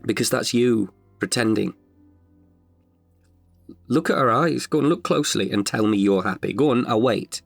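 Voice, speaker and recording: A man's voice: medium pitch, normal speed, creaky, pauses often, breathy edge, no room sound, faint music behind. A man in his thirties performing an intimate, chatty monologue as an older, wiser self tenderly addressing his past self. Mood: candid, reflective. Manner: chatty, wry, heartfelt. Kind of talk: intimate confessional audio monologue.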